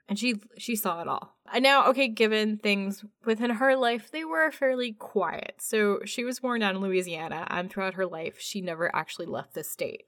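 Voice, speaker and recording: A woman's voice: mid-range voice, a bit bright, dry recording, clean studio sound. A woman co-hosting a podcast, chatting and telling stories in an informal, mildly sweary way. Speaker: podcast co-host. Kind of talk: casual chatty podcast conversation.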